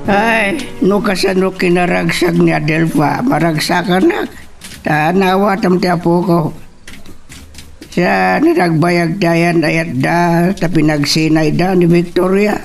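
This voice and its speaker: A man's voice: sits high, slow pace, creaky, soft background music, full character voice. A man playing an elderly, doting father in an Ilocano radio drama. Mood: fond, pleased.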